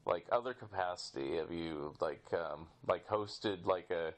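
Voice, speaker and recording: A male podcast host with a fairly low voice. He chats casually as he interviews, feeling his way through long, halting questions that ramble along.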